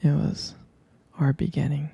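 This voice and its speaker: A man playing a devoted, smitten boyfriend in an intimate ASMR roleplay, speaking in a breathy, husky whisper, hesitant and tenderly repeating his phrases. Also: fairly high pitch, little pitch movement, unhurried, mellow, dry recording, heavily acted. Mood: tender, wistful.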